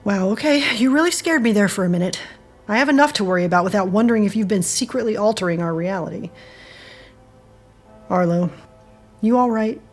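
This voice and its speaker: A woman's voice: medium pitch, a little fast, long pauses, faint music behind, close to an everyday voice. A woman playing an earnest, principled friend in an audio drama, reasoning and pleading firmly. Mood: concerned. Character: earnest woman, a firm moral voice to her friend.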